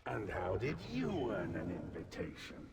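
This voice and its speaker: A man voicing an impatient, menacing dark god in a fantasy video game, gravelly and contemptuous. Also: sits low, wildly swooping pitch, unhurried, heavy background noise, exaggerated voice. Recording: distant voice under loud music.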